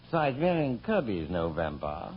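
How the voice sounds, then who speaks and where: An actor playing a fussy, henpecked middle-aged husband with dry sarcasm in a 1940s radio comedy. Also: male voice, on the low side, wildly swooping pitch, moderate pace. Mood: grumbling. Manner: flustered, dryly sarcastic, fussy. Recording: muffled, noisy old radio recording.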